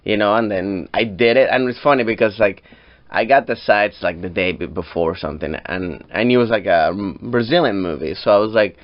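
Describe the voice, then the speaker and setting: Low-voiced Venezuelan man, casual and chatty. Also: brisk. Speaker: podcast guest, comedian and voice actor. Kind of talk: casual chat, comic storytelling. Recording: slightly dull, lo-fi podcast audio.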